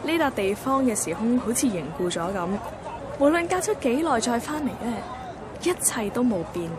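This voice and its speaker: An actress plays a young woman with a Hong Kong Cantonese accent and a fairly high voice. She tells her story in a wistful, colloquial, inward-looking way, as in a radio drama. Clear street noise runs behind her. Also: lively intonation, moderate pace, crowd noise, close to an everyday voice. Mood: nostalgic. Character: wistful young woman photographer, sentimental and timid. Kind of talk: radio drama first-person narration.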